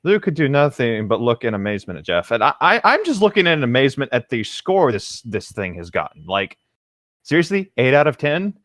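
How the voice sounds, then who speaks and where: A man's voice: medium pitch, very animated, a little fast, a bit bright, dry recording, studio quality. A man co-hosting a comedy podcast, reading a story aloud and adding sardonic, casual commentary. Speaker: podcast co-host reading horror fiction aloud.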